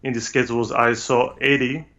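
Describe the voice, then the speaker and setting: Italian-accented man, halting non-native delivery, muffled video-call sound. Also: mid-range voice, level tone, a bit bright. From Italy. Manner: hesitant, frequent "uh" pauses, non-native phrasing. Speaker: guest photographer. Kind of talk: remote interview, casual explanation. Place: home studio.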